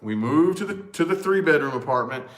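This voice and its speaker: Man, loud booming voice, animated casual chat, swears, roomy echo. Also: fairly quick. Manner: animated, swearing freely, exclamations. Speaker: vlog co-host. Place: large echoey house. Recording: echoey room.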